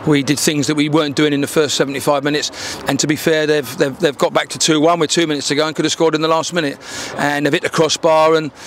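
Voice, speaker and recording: An older man in his sixties talks quickly and candidly about his team after a match. His voice sits a little high and he stumbles over repeated words as he restarts sentences. Faint outdoor traffic hums behind him. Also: flat delivery. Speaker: football manager. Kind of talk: post-match interview. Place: outdoors by a dark wall at a football ground. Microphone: handheld interview mic.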